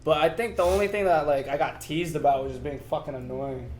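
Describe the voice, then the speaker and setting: Young man, first language Tagalog, high voice, animated storyteller, echoey room. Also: a little fast. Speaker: podcast co-host. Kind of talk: casual chat. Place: room with friends around a table. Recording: echoey room with chatter behind.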